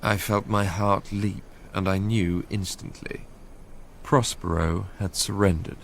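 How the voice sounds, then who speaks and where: An actor playing an educated, introspective Englishman narrates in a measured, literary way, with an English accent, in a radio drama. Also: low voice, steady tone, vocal fry, slight background noise. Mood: reflective. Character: educated Englishman recalling his romantic obsession, wistful and introspective.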